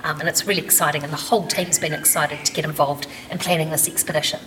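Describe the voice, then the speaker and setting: A woman's voice: deep voice, fairly even intonation, fast, fairly bright tone, slight background noise, low-quality recording. A woman, a charity chief executive, giving an enthusiastic welcome speech with a few fillers.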